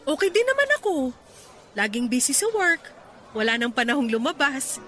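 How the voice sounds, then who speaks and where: A woman with a Filipino accent playing a hardworking, playful young woman in a radio drama, teasing and expressive as she chats. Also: high voice, moderate pace, faint music behind, full character voice. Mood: chatty, cheerful.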